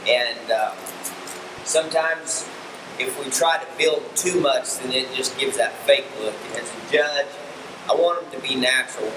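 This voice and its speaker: A middle-aged man, calmly explaining livestock grooming with plenty of ums. He sounds distant from the mic, in a large, roomy indoor barn with a long echo. A steady air-conditioning hum sits behind him. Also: medium pitch. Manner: relaxed, explanatory, with frequent ums and restarts. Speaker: livestock fitting instructor and show judge. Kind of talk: demonstration tutorial. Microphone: far camera mic.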